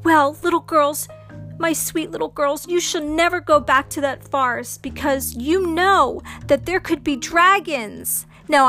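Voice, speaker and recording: A woman voicing a caring mother in a children's story, firm and gently scolding. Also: high-pitched, heavily acted.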